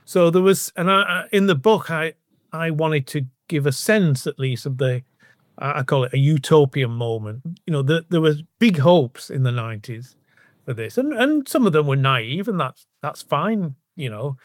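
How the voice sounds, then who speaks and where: A man's voice: on the high side, animated, moderate pace, no room sound, studio quality. A middle-aged or older man from the North West of England with a Northern English accent, answering as a podcast guest in a casual, discursive way, often restarting his sentences. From Bolton, North West England. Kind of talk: casual conversational interview answers.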